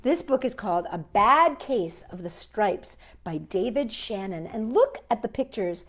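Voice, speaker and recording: A woman's voice: medium pitch, expressive, a little slow. A woman hosting a children's storytime, chatting playfully and speaking directly to young children. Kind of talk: playful children's storytime with reading and sing-along.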